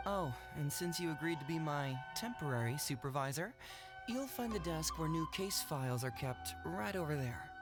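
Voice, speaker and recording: A man's voice: a little high, expressive, moderate pace, lightly acted. An actor playing a clever, confident young male detective in a video game, articulate and earnest. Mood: businesslike. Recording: game music behind.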